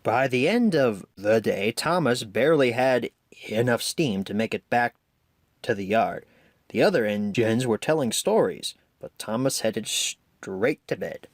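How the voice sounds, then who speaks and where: A man narrating a children's story in a measured storytelling manner. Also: mid-range voice, animated, no room sound, clean studio sound. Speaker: amateur story reader.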